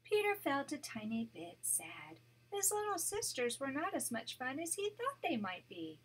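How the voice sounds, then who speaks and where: An older woman, a grandmother, reading a children's story aloud to her grandchild, animated and pausing to point out the pictures. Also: sits high, low-quality recording.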